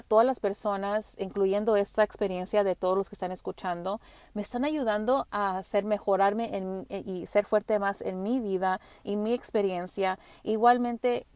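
A woman whose first language is English hosting her spiritual podcast, talking gently with frequent restarts and fillers. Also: mid-range voice, dry recording. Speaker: podcast host. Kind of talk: casual spiritual monologue.

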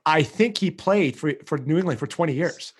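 A man's voice: on the high side, expressive, moderate pace, husky. A man born in Greece whose first language is Greek, chatting as a podcast guest and often repeating words as he starts a thought. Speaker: podcast guest, marketing agency founder. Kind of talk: casual chat.

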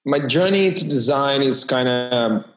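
A man's voice: medium pitch, animated, normal speed, a bit bright. A middle-aged man, a guest talking in a casual interview, often repeating words and restarting. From Brazil. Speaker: guest designer.

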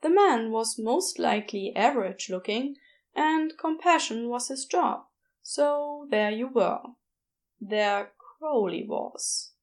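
A woman reading fiction as an audiobook narrator, expressive, with wry, deliberate emphasis. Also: fairly high pitch.

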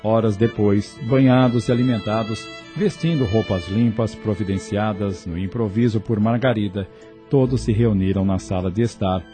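A man narrating a scripted radio drama in a measured, solemn storytelling style. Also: on the low side, over music.

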